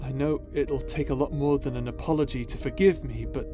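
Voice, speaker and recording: A man's voice: medium pitch, fairly even intonation, fairly quick, close to an everyday voice. A man playing an impassioned space pirate captain in an audio roleplay, with a dramatic, emotive delivery full of sighs. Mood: somber, subdued. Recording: voice over background music.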